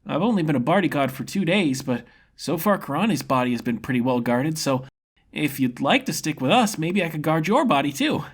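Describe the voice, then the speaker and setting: A man's voice: a little high, sing-song, brisk, breathy edge, voice pushed up. A man voicing a cheerful, innocent young swordsman who talks in a casual, slangy, upbeat way for a fantasy story. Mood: earnest, friendly.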